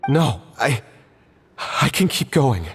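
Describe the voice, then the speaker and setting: An actor playing a stubborn, troubled young male subordinate in a fantasy video game, speaking in a halting, strained way. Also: medium pitch, very animated, husky, faint music behind, full character voice. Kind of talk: scripted video game voice acting.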